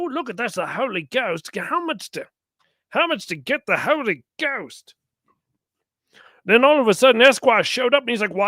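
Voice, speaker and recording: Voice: mid-range voice, a bit bright, no room sound. A commentator giving sarcastic religious reactions to clips, mocking and often acting out imagined exchanges.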